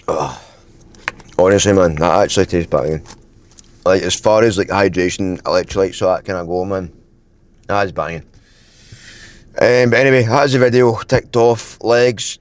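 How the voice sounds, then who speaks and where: A young man with a lowish voice chats to camera about his fitness routine. He rambles casually and leans on filler words, and his throat sounds dry and a little croaky. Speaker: fitness vlogger. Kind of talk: casual vlog talk to camera.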